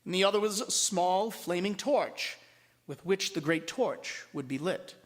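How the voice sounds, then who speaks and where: A priest, a man, reading a story aloud with expressive, deliberate storybook narration. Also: high-pitched. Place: large church at a Mass. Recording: long reverberant hall.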